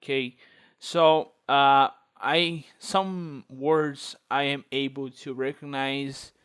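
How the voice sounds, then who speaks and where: Man, Portuguese accent, native Portuguese speaker, unhurried, smooth clear voice, tutorial walkthrough, lots of fillers. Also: mid-range voice, steady tone, fairly bright tone. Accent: Brazilian Portuguese. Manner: unhurried, thinking aloud with many fillers. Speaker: tech video host. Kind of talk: screen-recorded tutorial walkthrough.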